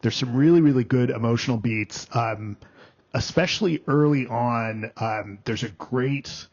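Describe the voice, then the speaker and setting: Male critic co-host, mid-pitched, halting delivery full of fillers, slightly muffled lo-fi sound. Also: a little mellow. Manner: halting, many ums and restarts. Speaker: film critic, podcast co-host. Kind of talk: casual podcast review chat. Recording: dull lo-fi podcast audio.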